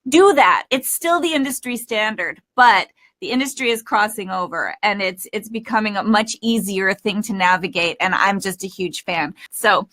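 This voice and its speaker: A woman's voice: mid-range voice, normal speed, a bit bright. A woman speaking informally and encouragingly as a coach hosting a webinar. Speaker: host and voice acting coach. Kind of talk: webinar presenting, casual chat.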